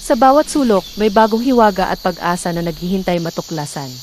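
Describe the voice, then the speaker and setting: A woman narrating a folktale from a script in a dramatic, deliberate storytelling manner. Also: mid-range voice, animated, a little mellow. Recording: clear background noise, nature sound effects.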